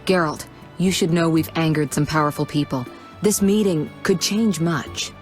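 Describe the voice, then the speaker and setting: A poised, knowing sorceress, acted by a woman for a fantasy game. Her voice sits fairly low and stays composed and shrewd. Soft music runs behind it. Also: moderate pace, close to an everyday voice. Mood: calm, knowing. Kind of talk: video game voice acting.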